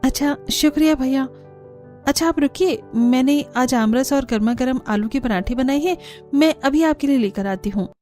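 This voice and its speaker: A young newlywed daughter-in-law from a cartoon , acted by a woman, high in pitch. She sounds polite but exasperated, with music underneath. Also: moderate pace, darkish tone, natural voice. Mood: polite, hospitable.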